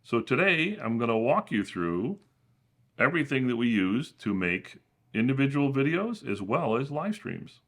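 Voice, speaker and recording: A man with a booming voice hosts a casual tour of his small home studio, talking into a camera-mounted shotgun mic and explaining his gear with frequent fillers and restarts. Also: big pitch swings, moderate pace, a bit bright. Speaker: channel host.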